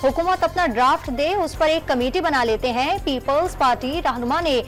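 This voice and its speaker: A woman with a Pakistani Urdu accent reading scripted TV news headlines in a brisk, formal manner. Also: high voice. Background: news music bed.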